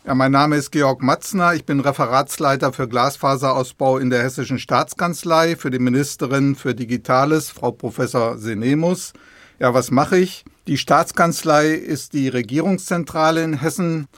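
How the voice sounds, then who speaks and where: A man's voice: medium pitch, moderate pace, fairly bright tone, no echo. A man explaining things simply and didactically to young listeners as an expert guest on a podcast. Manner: didactic, illustrating with everyday comparisons. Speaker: expert guest, government department head.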